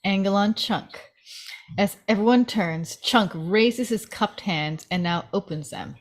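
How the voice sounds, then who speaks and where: Female narrator for screenplay action lines, mid-pitched, dramatic scripted read. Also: a little slow. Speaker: narrator reading stage directions at a table read.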